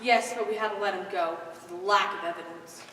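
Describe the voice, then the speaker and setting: A woman's voice: mid-range voice, fairly even intonation, brisk, pushed and bright, natural voice. A teenage woman playing a stern, humorless magistrate in a school stage play, declaiming with authority. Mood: stern, zealous. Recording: echoey school stage hall, distant mic, faint noise.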